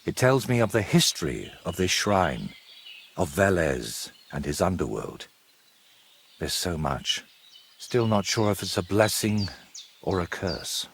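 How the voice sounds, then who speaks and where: A sardonic, secretive painter from a medieval game drama. His low voice moves expressively, wry and evasive, with faint outdoor ambience behind him. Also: sing-song, measured pace, a little hiss or hum, full character voice. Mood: troubled, guarded. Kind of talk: dramatic dialogue in a cinematic historical game.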